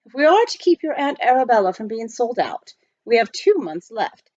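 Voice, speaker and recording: A woman's voice: fairly high pitch, very animated, moderate pace, a little mellow, no echo, dull audio. A woman voicing a proper, unflappable male English butler with formal, precise, reassuring speech in a storybook. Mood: composed, optimistic.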